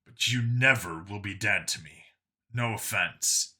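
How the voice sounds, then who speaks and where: A man voicing a hardened, grimly sardonic human lawman with a flat, blunt, deadpan manner in an audiobook story. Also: fairly low pitch, unhurried. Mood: flat, firm.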